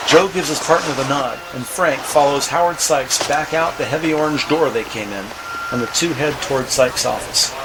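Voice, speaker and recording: A man reading scripted audio drama narration in a measured, descriptive storytelling manner. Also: a little high, background noise. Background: music.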